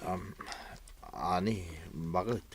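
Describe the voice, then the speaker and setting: An Ilocano husband and errant employee in a radio drama. He speaks in a subdued, halting, apologetic manner. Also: low-pitched, animated, heavily acted. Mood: contrite.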